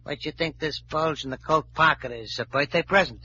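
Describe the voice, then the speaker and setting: A man's voice: fairly high pitch, moderate pace, bright, forceful tone. An actor playing a cocky, bullying American stickup man who talks in curt tough-guy slang, in old-time radio drama style. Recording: muffled, hissy old radio recording.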